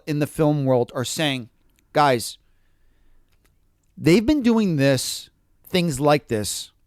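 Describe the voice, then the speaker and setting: Crisp-voiced male podcast host. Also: fairly high pitch, no echo, studio quality. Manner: animated, quotes people in acted asides. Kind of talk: casual podcast discussion.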